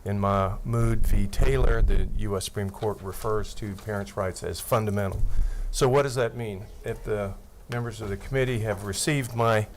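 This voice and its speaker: Older man, attorney testifying, methodical, earnest, lowish voice, faint background hiss. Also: steady tone, normal speed, hardly any pauses. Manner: earnest, methodical, reads legal language aloud. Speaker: attorney testifying at a committee hearing. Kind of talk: formal testimony. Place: legislative committee room. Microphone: table microphone.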